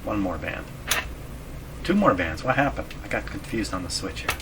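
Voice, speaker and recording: Low-voiced man over clear background noise. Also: very animated. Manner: muttering to himself while working, halting, repetitive. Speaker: host of a radio repair video. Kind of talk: casual hands-on demonstration commentary. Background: ambient noise from radio being tested.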